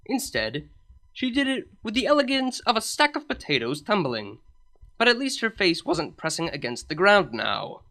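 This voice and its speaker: A woman's voice: mid-range voice, sing-song, normal speed, a bit bright. A woman reading comedy fanfiction aloud as a narrator. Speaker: fanfiction reader. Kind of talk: storytelling narration.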